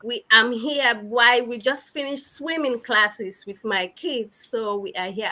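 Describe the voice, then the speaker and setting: A woman's voice: fairly high pitch, pushed and bright. A woman from Sierra Leone talking enthusiastically on a livestream, effusive and repeating phrases for emphasis. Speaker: online business mentor, guest speaker.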